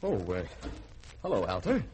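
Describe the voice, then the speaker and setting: Glib, flirtatious lieutenant, very animated. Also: male voice, medium pitch, moderate pace. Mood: coaxing. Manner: coaxing, glib. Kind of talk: 1950s radio drama acting. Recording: noisy vintage radio recording.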